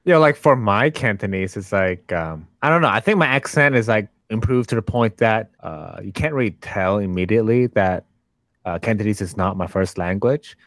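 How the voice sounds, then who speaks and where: A man in casual chat, telling a story with halting restarts. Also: lowish voice, lively intonation, no room sound.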